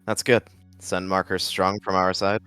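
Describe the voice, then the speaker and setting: A man's voice: deep voice, level tone, unhurried, sharp tone, smooth, soft background music. Man putting on a stern, commanding tomcat deputy. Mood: brisk, businesslike. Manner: stern, clipped orders, threatening. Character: cold, commanding tom cat deputy of a wild clan.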